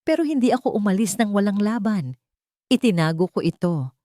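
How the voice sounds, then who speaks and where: A man's voice: high-pitched, big pitch swings, fairly quick, warm tone, no echo, studio quality, voice pushed up. A woman voicing an old, betrayed but loyal fisherman in a Tagalog story, speaking with earnest grief. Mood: resolute.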